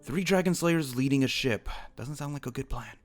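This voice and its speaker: A man's voice: medium pitch, moderate pace, faint music behind, lightly acted. A man playing a protective, distrustful guild wizard, blunt and grudging, in improvised fantasy roleplay. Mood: wary.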